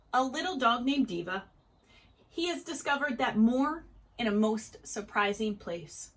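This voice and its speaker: A woman reading a children's story aloud with deliberate, expressive phrasing. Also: a little high.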